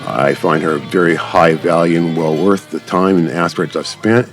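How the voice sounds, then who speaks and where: A man's voice: sits low, fairly even intonation, normal speed. A man giving casual, rambling gameplay commentary as a gaming YouTuber, wordy and informal. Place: voice-over on game footage. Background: music.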